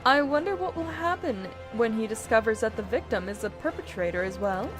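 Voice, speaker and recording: A woman voicing a haughty, disdainful female character in a fantasy game, in a measured, scornful way. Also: a little high, music in the background, lightly acted. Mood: cool disdain. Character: haughty, disdainful woman grudgingly giving directions.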